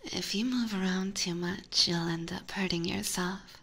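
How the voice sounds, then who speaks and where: A woman's voice: fairly low pitch, a little slow, gravelly, pushed and bright, exaggerated voice. A woman playing a haughty, teasing, predatory vampire in an ASMR roleplay, whispering breathily with formal, condescending diction close to a binaural microphone. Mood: amused, condescending.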